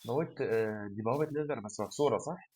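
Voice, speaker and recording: A man with an Egyptian Arabic accent giving let's-play commentary to his viewers. He is tired and has a sore throat, and he sounds chatty, excitable and exasperated. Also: mid-range voice, fast talker, heavy background noise. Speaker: gaming channel host.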